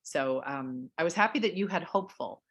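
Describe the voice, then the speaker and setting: A middle-aged woman with a notably low voice interviews an author over a video call. She talks in a measured way, full of fillers and restarts. The sound comes from a home room through a call mic. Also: animated, a bit bright.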